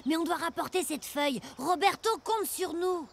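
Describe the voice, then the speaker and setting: A woman voicing an eager young adventurer in an animated cartoon, chatty and exclamatory. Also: very high voice, moderate pace, vocal fry, noisy background. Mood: earnest. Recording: music under the voice.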